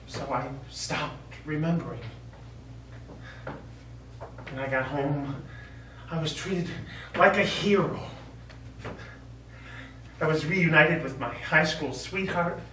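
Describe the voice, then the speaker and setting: An actor on stage playing a middle-aged American war veteran, remorseful and lovelorn, speaking in a halting, earnest, pleading way. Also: male voice, a little high, fairly bright tone. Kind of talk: stage play acting. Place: small theatre stage. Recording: echoey theatre stage, distant mic, faint noise.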